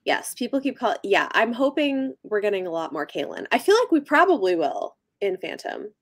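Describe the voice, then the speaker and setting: A woman's voice: mid-range voice, very animated, normal speed, no room sound, studio quality. A young woman co-hosting a book livestream, chatting casually and reacting to viewers as she goes. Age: young adult. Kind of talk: casual chat, reacting to live comments. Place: small book-lined room at home, on a video call.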